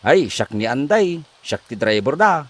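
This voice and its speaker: A woman's voice: rumbling low, sing-song, unhurried, heavily acted. A woman with an Ilocano accent playing a friendly, gossipy household driver in a comedy drama. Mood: gossipy, warning. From Philippines.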